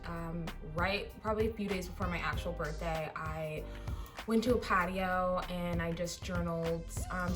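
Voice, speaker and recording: A woman in her twenties chatting to camera for her vlog, a little hoarse, with lots of "like" and "you know". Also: fairly low pitch, distant mic. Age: 20s. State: slightly hoarse. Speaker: vlogger. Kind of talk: casual chat to camera.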